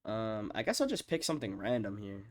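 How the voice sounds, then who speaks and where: A young man with a stuffed-up, nasal voice from being sick, rambling casually with profanity and lots of fillers, often trailing off quietly while he ranks his own tracks. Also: on the low side, very animated, brisk, slight background noise. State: congested, sick with stuffed-up nose. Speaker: music producer ranking his own songs. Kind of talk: rambling casual screen-share commentary.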